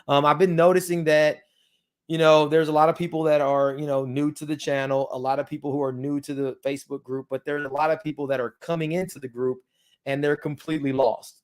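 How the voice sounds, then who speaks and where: A man's voice: on the high side, a little mellow. A man hosting a casual tutorial for his viewers, his speech slightly thickened by dental aligners, rambling with many ums and you-knows. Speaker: crypto channel host.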